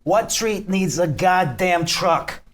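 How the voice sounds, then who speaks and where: A man's voice: high voice, lively intonation, takes it slowly, fairly bright tone, exaggerated voice. A man reading the part of a curt, profane mob boss who snaps out orders at a script table read. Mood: irritated. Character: short-fused mob boss barking orders in his restaurant.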